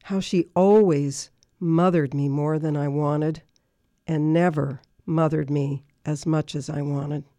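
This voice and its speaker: An older woman, around her sixties, reading her own personal remembrance aloud in a measured, list-like recitation. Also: low-pitched, fairly even intonation, dark tone, no echo. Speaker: listener contributor reading own writing.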